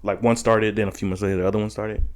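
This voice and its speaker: A man's voice: fairly low pitch, level tone, rapid-fire. A man co-hosting a casual gaming podcast, rambling with many restarts and blunt opinions. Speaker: podcast co-host. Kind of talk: casual chat.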